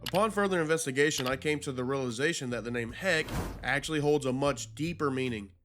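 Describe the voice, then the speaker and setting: A man's voice: medium pitch, quick pace, a bit bright, faint music behind. A man hosting a video commentary, narrating in an informal, slangy, conversational way. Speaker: YouTube host.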